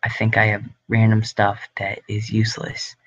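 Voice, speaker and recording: A man's voice: lowish voice, monotone, moderate pace, a bit bright, muffled recording. A young man hosting a casual livestream from his home grow room, rambling with lots of ums and likes. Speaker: livestream host.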